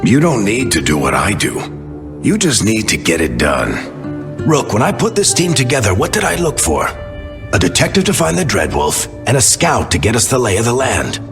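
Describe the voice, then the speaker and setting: An actor playing a wounded veteran team leader who talks wryly and coaxingly, like a mentor, in a video game cutscene. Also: male voice, fairly low pitch, wildly swooping pitch, moderate pace, long reverb tail, over music.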